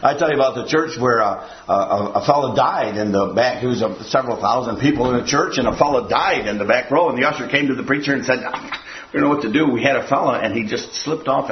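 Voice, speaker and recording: A man's voice: medium pitch, fast talker, roomy sound, muffled recording, a little hiss or hum. An older man preaching a sermon to a church audience, rambling and folksy, with a habit of repeating himself.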